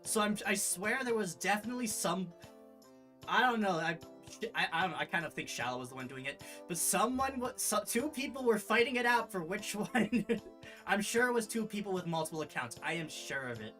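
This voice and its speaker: A man hosting a livestream read-along in a casual, halting, self-deprecating way. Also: high voice, animated, bright, forceful tone, faint music behind. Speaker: streamer hosting a live reading of their own series.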